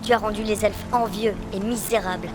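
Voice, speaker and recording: A woman's voice: mid-range voice, steady tone, brisk, natural voice. A woman playing a proud, defiant heroine in a fantasy audio drama, with an earnest way of declaiming. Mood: indignant. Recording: music behind the voice. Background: music.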